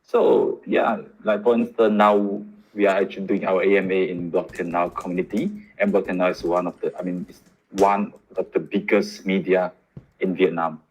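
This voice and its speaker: A man hosting a livestream question session, reading questions from the chat in a relaxed, chatty way. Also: sits low, normal speed, husky, muffled recording. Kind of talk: livestream AMA.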